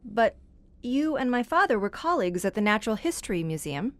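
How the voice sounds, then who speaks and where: A woman's voice: on the high side, big pitch swings, fairly quick, no echo. An actress playing a curious, polite young woman writer, speaking with careful enunciation in an old-time radio horror drama. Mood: curious, impressed.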